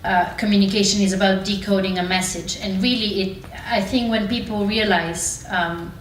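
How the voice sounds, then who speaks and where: A woman's voice: mid-range voice, fairly even intonation, normal speed. A woman giving a spontaneous, explanatory comment in a group discussion. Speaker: discussion participant. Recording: echoey room, distant mic, faint noise.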